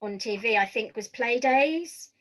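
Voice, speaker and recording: A woman's voice: on the high side, lively intonation, a little slow. A woman hosting a podcast, asking questions in a conversational interviewing style.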